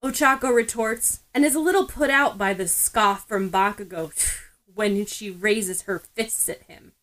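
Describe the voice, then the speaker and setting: A woman's voice: mid-range voice, unhurried, a bit bright. Female narrator, mid-range voice, reading prose fiction for a podfic. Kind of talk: scripted story narration.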